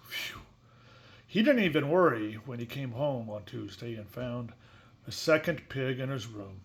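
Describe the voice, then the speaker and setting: A man reading a picture book aloud expressively, with casual, chatty asides and questions to a young listener. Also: medium pitch. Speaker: story reader.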